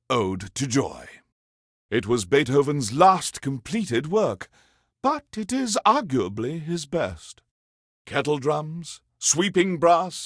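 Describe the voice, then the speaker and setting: A man's voice: on the high side, no echo, clean studio sound. An actor playing a pompous alien emperor who hosts a classical radio station in a video game, speaking in grandiloquent, condescending, theatrical patter and savoring his words. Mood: pompous, lecturing.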